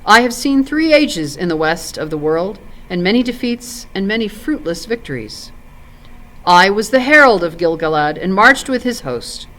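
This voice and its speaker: Storybook role: ancient, solemn elf lord played by a woman, stately archaic speech, expressive pitch movement. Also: normal speed, low-quality recording. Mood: grave, solemn. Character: grave, ancient male elf lord recalling old wars. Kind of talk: storybook character in read-aloud.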